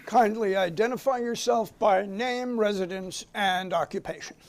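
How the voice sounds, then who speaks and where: A man's voice: high voice, moderate pace. A man playing a formal, insistent committee counsel who questions a witness courteously in a dramatic reading. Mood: formal, probing. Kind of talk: dramatic reading of a hearing transcript.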